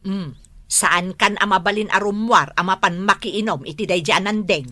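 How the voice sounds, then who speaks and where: Woman playing sharp, strict Ilocano village wife. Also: medium pitch, moderate pace, no room sound, clean studio sound. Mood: scolding. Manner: scolding, forceful. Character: strict, scolding village wife nagging her husband. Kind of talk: Ilocano comedy drama acting.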